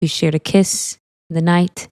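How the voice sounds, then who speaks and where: A young woman co-hosting a casual podcast, her voice hoarse and raspy as it comes back, chatty and laughing often. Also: on the low side, fairly even intonation, unhurried, darkish tone, dry recording, clean studio sound. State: hoarse, voice coming back after losing it. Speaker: podcast co-host. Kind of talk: casual chat.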